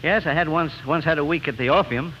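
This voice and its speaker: Male radio guest from Lancashire, high voice, scripted 1940s banter, dull vintage broadcast sound. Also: fast, slight background noise. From Lancashire, England. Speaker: newspaper critic, radio guest.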